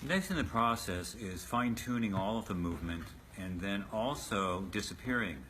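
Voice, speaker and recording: A man's voice: on the low side, lively intonation, normal speed, over loud music. A man talking behind the scenes as a puppet show director, explaining things in loose, hands-on everyday terms. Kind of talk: behind-the-scenes interview talk. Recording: clear background noise, rehearsal room ambience.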